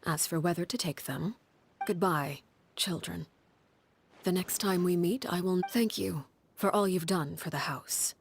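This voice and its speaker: An actress playing a stern, composed woman who leads a household of orphans, speaking in a measured, solemn way in a video game. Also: lowish voice, vocal fry, a little hiss or hum. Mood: stern farewell. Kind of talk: dramatic video game voice acting.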